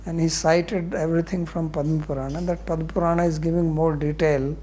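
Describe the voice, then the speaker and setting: A man's voice: on the high side, level tone, a little fast, mellow, a little hiss or hum. A man with an Indian accent, a spiritual teacher answering questions in a scripture class in a blunt, explanatory way.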